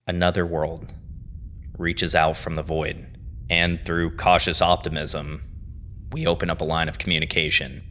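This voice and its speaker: A man delivers dramatic scripted storytelling narration, phrasing deliberately with weighty pauses. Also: a bass voice, level tone, sharp tone. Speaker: narrator and host of a horror series review.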